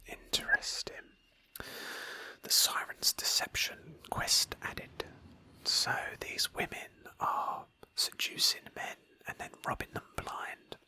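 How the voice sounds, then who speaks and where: A woman whispering breathily in a hushed, thoughtful ASMR style as she narrates a roleplay game playthrough as its host. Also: takes it slowly, low-quality recording.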